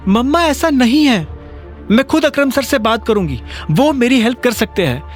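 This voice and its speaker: A man's voice: very high voice, animated, moderate pace, soft background music, pitched above the performer's own voice. A man voicing a proud, defiant, upset young wife in an audio drama, speaking indignantly. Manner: indignant, mixes English into speech. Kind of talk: Hindi audio drama acting.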